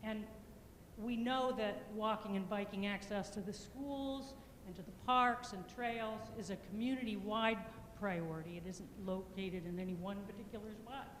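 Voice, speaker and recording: Woman presenting in an echoey hall. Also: mid-range voice. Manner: self-described loud voice, halting with fillers. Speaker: planning consultant. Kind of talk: public meeting presentation. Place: municipal meeting room. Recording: echoey meeting hall with faint noise.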